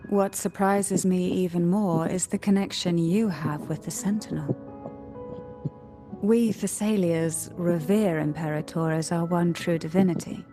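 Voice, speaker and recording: A poised, knowing noblewoman from a video game voiced by a woman. Her voice sits fairly low and her phrasing is formal, measured and eloquent. Clear music plays behind her. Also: fairly even intonation, lightly acted. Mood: solemn, courteous. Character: poised, cultured noblewoman of secretive schemes, calm and knowing. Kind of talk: video game voice acting, scripted dialogue.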